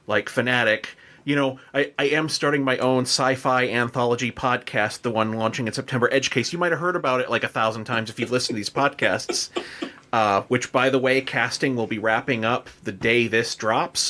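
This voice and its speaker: American guy podcast host, rambling informal chat, medium pitch. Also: pushed and bright.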